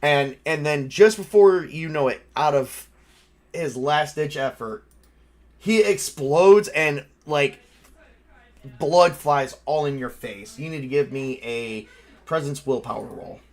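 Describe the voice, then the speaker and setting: Casual man running a tabletop game. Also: on the high side. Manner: casual, halting, swearing. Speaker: game master and player. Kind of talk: narrating a tabletop horror game, improvised.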